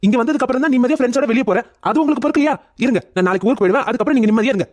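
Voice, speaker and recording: A man's voice: very high voice, rapid-fire, no room sound. A man playing a grown son talking with his mother, acted in the manner of a drama serial. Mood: irritated.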